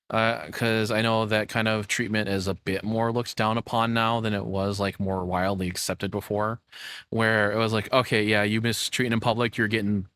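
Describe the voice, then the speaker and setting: A man's voice: on the low side, fairly bright tone, dry recording. A man giving casual streamer reaction commentary in a blunt, informal way, with some swearing.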